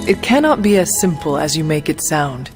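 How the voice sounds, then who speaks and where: A man's voice: a little high, big pitch swings, normal speed. A man playing a reserved, resurrected demon slayer in a video game, speaking in formal, stiff phrasing with music behind. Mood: formal, curious. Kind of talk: video game cutscene acting. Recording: background music under the voice.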